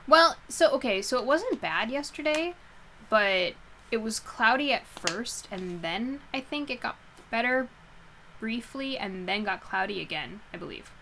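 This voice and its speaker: A woman's voice: fairly high pitch, lively intonation, a little slow, creaky, pushed and bright, a little hiss or hum. A woman chatting casually with her live stream viewers from her bedroom before a book reading, a bit nasal, rambling and laughing easily. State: a little nasal. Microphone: headset while wearing headphones.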